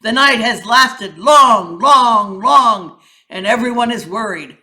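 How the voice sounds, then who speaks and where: A woman voicing a commanding lion king in a storybook, loud, solemn, deliberate and declamatory. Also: a little high. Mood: stern, solemn. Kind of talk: animated storybook character reading.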